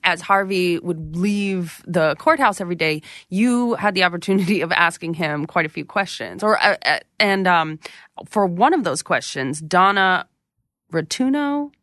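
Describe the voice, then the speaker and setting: A woman host with a lowish voice, curious and opinionated, mulling things over aloud between questions. Her voice sits dry in the mix, with no room sound. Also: expressive, moderate pace, fairly bright tone, no echo. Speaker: interviewer host. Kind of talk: interview questions and commentary.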